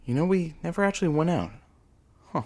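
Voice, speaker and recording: A man acts a wistful radio announcer and sidekick in a comedy audio drama. His delivery is deadpan and mild, in a dry recording. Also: on the high side, a little fast, no echo, natural voice.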